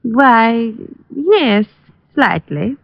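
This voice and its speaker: A woman's voice: fairly high pitch, sing-song, very slow, gravelly, dry recording, full character voice. An actress playing a poised, evasive society woman with a formal, hesitant manner, in 1940s radio drama style. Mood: guarded. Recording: dull dry old radio recording.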